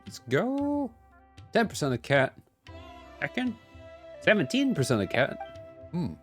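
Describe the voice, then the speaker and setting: Playful male streamer with animated pitch. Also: a little slow. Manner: playful, chatty, mild joke swearing. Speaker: game streamer. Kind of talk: casual live game commentary. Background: game music.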